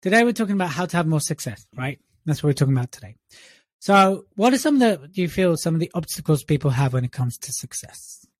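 A man's voice: on the high side, sing-song, quick pace, no echo, studio quality. A man co-hosting a podcast in casual, jokey banter, teasing his partner. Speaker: podcast co-host.

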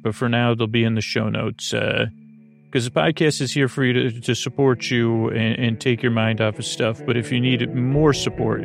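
Very creaky, rambling man. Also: mid-range voice. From United States. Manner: meandering, tangential, halting with repetitions. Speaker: podcast host. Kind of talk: rambling bedtime sleep podcast monologue.

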